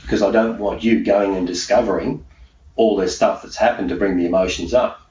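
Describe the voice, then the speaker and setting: Low-voiced man, echoey distant recording. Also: steady tone, fairly quick. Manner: emphatic, self-assured, repeats key phrases. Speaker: peak performance hypnosis coach being interviewed. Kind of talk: motivational conversational interview answers. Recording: echoey room, distant mic, dull sound, faint noise.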